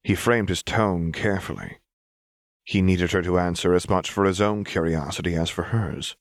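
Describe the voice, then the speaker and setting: A man narrating a scripted story as an audiobook reader, in a measured, deliberate manner. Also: low voice, steady tone, no echo, studio quality.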